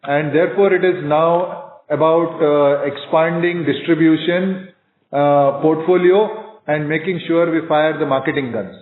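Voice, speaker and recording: Male executive for earnings call, halting and businesslike, slightly high voice, narrow phone sound. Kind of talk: measured business presentation and answers. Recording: echoey, narrow conference phone line.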